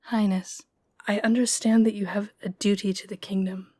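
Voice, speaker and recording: A woman plays a young lady-in-waiting in a romantic fantasy audio drama. She is gossipy and blunt, teasing in an informal way and quick to take offence. Her voice sits at a middle pitch in a dry recording. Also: level tone, mellow, dry recording, natural voice. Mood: indignant, candid. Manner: informal, teasing, openly indignant.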